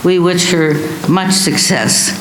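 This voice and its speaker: A man reads a prepared speech as an award presenter. His voice is on the high side for a man, heard with strong room echo and a little background noise. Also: fairly even intonation, slow pace, fairly bright tone. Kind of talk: prepared ceremony speech read aloud.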